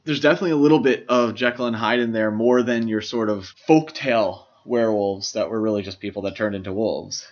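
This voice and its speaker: A man's voice: on the low side, fairly quick, hardly any pauses. A man co-hosting a podcast, casually discussing film in an enthusiastic, explanatory way. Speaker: podcast co-host. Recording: slightly dull, lo-fi podcast audio.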